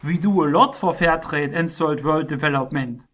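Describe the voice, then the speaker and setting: An actor playing a conciliatory, pragmatic European leader in satirical rhymed dialogue, speaking in a measured, formal, diplomatic way. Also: medium pitch, fairly bright tone, lightly acted.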